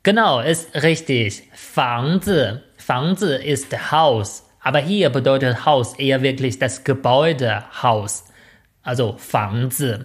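A woman's voice: extremely low pitch, slow pace. A woman whose first language is Mandarin, speaking with a Chinese accent as a podcast co-host, hesitant, with many filler sounds. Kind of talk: casual podcast chat.

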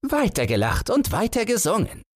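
A man's voice: sits high, wildly swooping pitch, moderate pace, dry recording, clean studio sound, voice pushed up, exaggerated voice. A man voicing a garrulous older horseman, a grumbling and mocking servant of the king in a fairy tale audiobook. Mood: exasperated.

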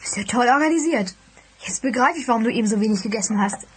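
A woman playing a bright, curious young guest in a children's mystery audio drama. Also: a little high, quick pace, close to an everyday voice. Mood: curious, delighted. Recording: noisy, clicky recording.

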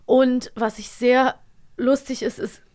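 A woman's voice: sits high, level tone, takes it slowly, a little mellow. Casual, playful woman co-hosting a show. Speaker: magazine show co-host. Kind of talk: casual chat about audio dramas.

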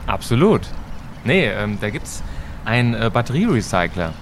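A man's voice: medium pitch, big pitch swings, normal speed, noisy background, lightly acted. A man playing an inquisitive, easygoing time-travelling battery expert in an audio drama, speaking casually and a little hesitantly. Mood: hesitant, eager. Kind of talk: amateur educational audio drama dialogue.

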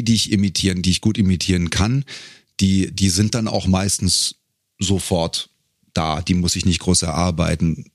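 A man's voice: sits low, dry recording, studio quality. A middle-aged man talking casually and reflectively as an interview guest, feeling his way through phrases. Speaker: guest, professional voice actor.

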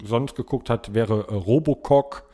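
A man with an average-pitched voice. He chats in an informal, joking way as one of the co-hosts of a podcast. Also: unhurried, warm tone. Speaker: podcast co-host. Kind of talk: casual joking chat.

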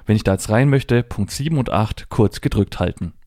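Male tutorial presenter: deepish, relaxed tone, matter-of-fact, unscripted step-by-step delivery. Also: moderate pace, dry recording, clean studio sound. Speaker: presenter demonstrating assistive technology. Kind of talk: hands-on spoken tutorial, unscripted step-by-step explanation.

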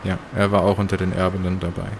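A man playing a measured, grave witness who speaks formally and deliberately in an audio drama retelling. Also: deep voice, monotone, darkish tone, slight background noise. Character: measured, grave male witness recounting eerie deaths.

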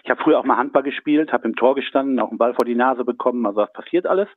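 A man's voice: mid-range voice, rapid-fire, no room sound. Brief: male guest in his sixties, chatty, lots of hesitations, thin phone-line sound, dry. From Germany. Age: 60s. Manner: chatty, many fillers. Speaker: guest, sports official. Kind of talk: phone-in interview.

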